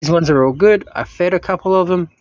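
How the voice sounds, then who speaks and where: A man's voice: high voice, fast talker, a little mellow, dry recording. A man vlogging his day with chatty, self-mocking narration into a handheld phone camera. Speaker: homesteading vlogger. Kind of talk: casual self-filmed vlog narration.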